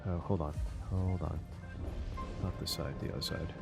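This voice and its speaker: Streamer type: a lowish-voiced excitable man reacting to a game with music underneath. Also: normal speed, gravelly. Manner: excitable, exclaiming, swearing, thinking aloud. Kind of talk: live game reaction commentary.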